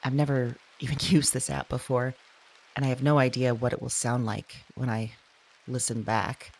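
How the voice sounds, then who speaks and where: A woman playing an uneasy, self-conscious dreamer in an audio drama, speaking haltingly into a voice memo and trailing off. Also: rumbling low, little pitch movement, husky, exaggerated voice, poor audio. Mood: hesitant, drowsy.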